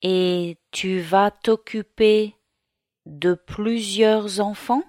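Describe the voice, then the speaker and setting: A woman reading the part of a young French law student in a slow learner's dialogue. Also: mid-range voice, fairly even intonation, a little mellow, no room sound, clean studio sound. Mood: friendly. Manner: slow, carefully articulated reading.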